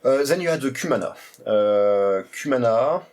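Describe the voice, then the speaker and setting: Gravelly French-accented man, halting delivery. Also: medium pitch, expressive, a little mellow. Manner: hesitant, heavy use of "uh" fillers. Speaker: retro computing video host. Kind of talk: casual show-and-tell presentation.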